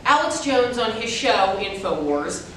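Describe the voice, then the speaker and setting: A woman's voice: big pitch swings, measured pace, fairly bright tone. A woman performing rehearsed dramatic narration as a reader's theater performer. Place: large echoey room, distant microphone. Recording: very echoey hall, distant mic, faint rumble.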